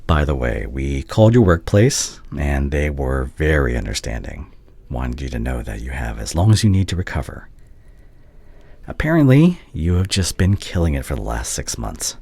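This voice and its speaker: Very deep-voiced, hesitant doctor ex-boyfriend played by a man. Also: very animated, creaky, darkish tone, slightly rough, no room sound, heavily acted. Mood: gentle, chatty. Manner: hesitant, halting, tender. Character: devoted, regretful male doctor, anxious ex-boyfriend of the listener. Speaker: voice actor in an audio roleplay. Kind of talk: intimate ASMR boyfriend roleplay.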